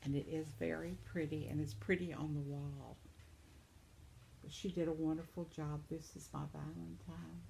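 Older woman, home vlogger, slow delivery, lowish gravelly voice, chatty and sympathetic. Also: level tone, dark tone, a little hiss or hum, rough recording. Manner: chatty, sympathetic, reacts aloud. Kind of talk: casual chat reading viewer comments. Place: living room at home, seated in armchair.

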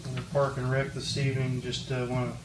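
A man's voice: medium pitch, completely flat delivery, quick pace. A man from Ohio presenting resolutions and reading dollar figures steadily at a town council meeting. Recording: echoey room, far mic, background noise.

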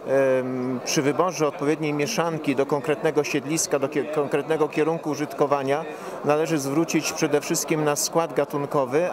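A middle-aged man giving formal, measured expert explanations as an interviewed professor. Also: fairly high pitch. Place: interview stand at a busy indoor forum. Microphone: handheld reporter microphone held out to him. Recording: busy trade event crowd noise.

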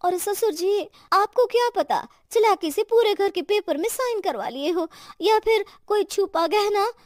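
Woman playing suspicious cartoon daughter-in-law, extremely high voice, pushy, sly, grasping, dry sound. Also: lively intonation, unhurried, no echo.

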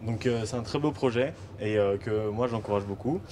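A man's voice: lowish voice, moderate pace, mellow, slight background noise. A young man from Lyon, a student hosting a live cooking show, speaking hesitantly with frequent filler sounds. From Lyon, France. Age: young adult. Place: teaching kitchen, indoors. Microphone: handheld mic.

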